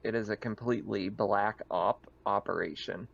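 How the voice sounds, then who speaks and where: A man acting as game master of a tabletop roleplaying game, narrating casually and haltingly, thinking aloud, over a slightly muffled online voice chat. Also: fairly low pitch, steady tone, vocal fry, fairly bright tone.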